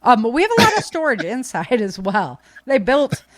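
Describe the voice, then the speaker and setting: A middle-aged American woman from Michigan chatting informally as a podcast host, telling stories in an animated, slangy way. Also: fairly high pitch, moderate pace, no room sound. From Michigan, United States. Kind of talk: casual conversational podcast chat.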